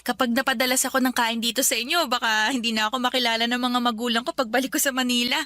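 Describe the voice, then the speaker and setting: A woman's voice: a little high, level tone, fast, bright, forceful tone. A woman in her twenties playing a polite, bubbly young guest in a radio drama. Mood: cheerful. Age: 20s.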